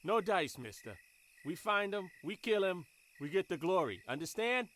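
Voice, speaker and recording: A man's voice: sits high, sing-song, moderate pace, a bit bright. A man playing a tough, cocky young gang leader in an audio drama, with streetwise, swaggering talk. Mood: cocky, threatening.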